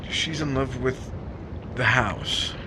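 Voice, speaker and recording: A man acts out an unhappy husband in an old-time romantic radio play. His voice sits at a middle pitch and comes haltingly, with brooding hesitation. Clear waterfront and boat noise runs behind him. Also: close to an everyday voice. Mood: defensive, earnest. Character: troubled, brooding unhappy husband named Larry. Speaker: actor in an audio drama. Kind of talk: 1950s romantic radio drama acting. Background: boat and water sound effects.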